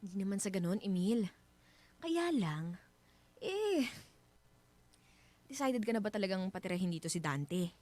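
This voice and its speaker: A woman's voice: mid-range voice, very animated, a little slow, pauses often, a little mellow. A woman acting as a wary, insistent wife in a Filipino radio drama, earnest and polite but nagging. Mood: worried, wary.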